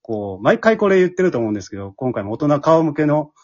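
Lively, husky man hosting. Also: fairly high pitch, fast, dull audio. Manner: chatty, backchannels often, laughs readily. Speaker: host and MC of an after-talk. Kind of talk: casual hosting chat.

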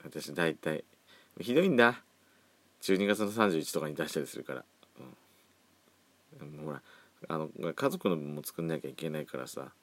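A man's voice: a bass voice, normal speed, pauses often, no room sound. A man around forty hosting a casual solo podcast, chatting with campy, feminine phrasing. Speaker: podcast host. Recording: faint background music.

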